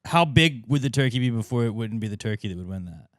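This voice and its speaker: A man's voice: medium pitch, animated, quick pace, no room sound, clean studio sound. A man co-hosting a podcast, talking in casual comedic banter with slangy, sweary phrasing. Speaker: podcast co-host.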